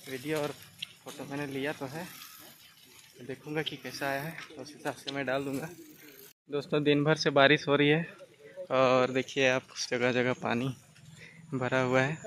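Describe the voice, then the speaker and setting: A man's voice: mid-range voice, little pitch movement, measured pace, pauses often, fairly bright tone, low-quality recording. A young man walking through rural lanes and a street market, narrating a casual travel vlog to his viewers on a handheld phone. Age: young adult. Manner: chatty tour-guide commentary, addressing viewers as friends. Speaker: travel vlogger. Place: outdoors, rural roads and busy street market. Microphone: handheld phone camera. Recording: outdoor phone recording with street noise.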